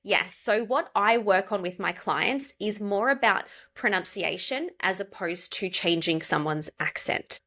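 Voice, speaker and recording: An Australian woman, a pronunciation coach, explaining clearly and steadily as a podcast guest. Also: on the low side, sharp tone. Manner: explanatory, measured. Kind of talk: podcast interview.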